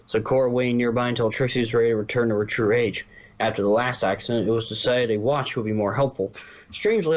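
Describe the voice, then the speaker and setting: A man's voice: mid-range voice, muffled recording. A man reading a story aloud as narrator, now and then stumbling and correcting himself. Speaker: narrator, fan fiction reader.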